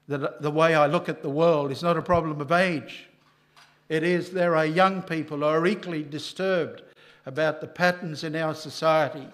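An older man preaching a sermon at a church lectern microphone, emphatic and rising loud on key lines. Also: fairly high pitch.

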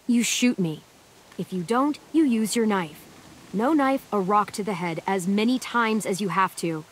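A woman's voice: fairly low pitch, a little hiss or hum. A woman voicing a tough, sardonic teenage American girl in a zombie survival video game, blunt and dry. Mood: grave.

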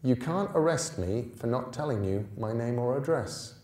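A man's voice: mid-range voice, lively intonation, normal speed, dark tone, lightly acted. An earnest young boy read by a man protests plainly and defiantly. The storybook voice is heard in a roomy space, slightly away from the mic. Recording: roomy stage sound, distant mic.

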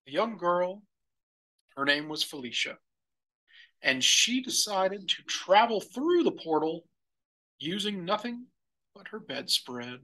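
A man narrating a children's picture book for storytime in a deliberate manner. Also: high voice, big pitch swings, sharp tone, rough recording. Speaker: storybook reader.

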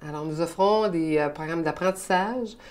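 A woman's voice: low voice, moderate pace, darkish tone. An older woman representing a college, explaining composedly and informatively in an indoor office. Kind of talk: interview explanation.

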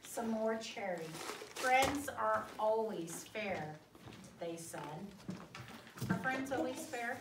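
Middle-aged lady reading a kids book out loud in a classroom, high voice, echoey, noisy recording. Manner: expressive, drawn-out reading with checking questions. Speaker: guest storyteller reading to schoolchildren. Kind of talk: picture-book read-aloud with questions to children. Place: indoor school classroom. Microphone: distant phone or camera across the room. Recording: roomy, distant mic, noisy classroom.

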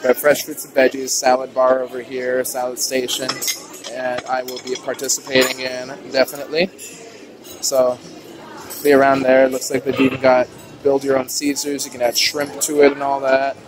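Young guy filming a buffet tour on his phone, excited, lots of "ooh", restaurant noise all around. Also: medium pitch, normal speed. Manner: upbeat, chatty, lots of "ooh" and "uh". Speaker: vlogger. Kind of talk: casual walkthrough narration. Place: large indoor buffet restaurant. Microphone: handheld phone camera. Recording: noisy restaurant phone video. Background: diner chatter and clatter.

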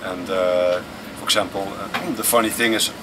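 Low, even-voiced Dutch man in a bus. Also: normal speed. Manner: relaxed and thoughtful, frequent fillers and restarts. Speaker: musician guest. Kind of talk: casual interview answers. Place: inside a tour bus. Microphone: camera close in front. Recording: distant mic with vehicle noise. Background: air conditioning and vehicle hum.

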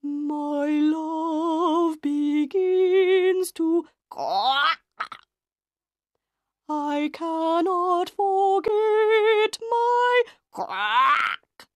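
Brief: haughty vain diva, high and loud, slow and dry, read by a woman. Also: sing-song, no room sound. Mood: croaking song. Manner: raised, haughty and indignant.

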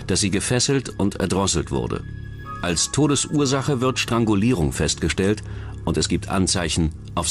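A man narrates a true-crime documentary from a script in a low-pitched voice. His intonation moves about in a lively way from phrase to phrase. Music plays clearly underneath him. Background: background music.

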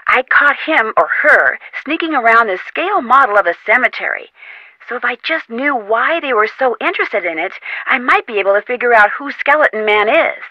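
A woman's voice: a little high, lively intonation, brisk, sharp tone, no room sound, poor audio. An actress voicing a curious, determined young female amateur detective in a video game, asking polite, probing questions in an upbeat manner. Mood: inquisitive. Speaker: game character voice. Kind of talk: voiced game dialogue. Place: recording studio.

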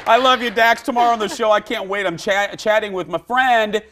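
A man in his forties hosting a daytime TV talk show from a bright studio set, animated and often breaking into shouts. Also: high voice, brisk, a crowd in the background, background noise. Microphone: lapel mic.

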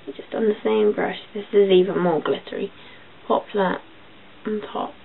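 A young woman with a croaky voice, chatting casually through a makeup tutorial in her bedroom, with filler ums as she goes. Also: mid-range voice, steady tone, normal speed. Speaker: beauty vlogger. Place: small bedroom. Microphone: built-in webcam mic. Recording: tinny lo-fi webcam audio, faint hiss.

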